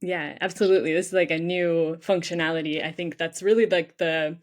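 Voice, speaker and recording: A woman's voice: fairly low pitch, steady tone, fairly quick. A woman hosting a podcast in a casual, upbeat way, often saying "like" and nodding along with "mm-hmm.". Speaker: podcast host.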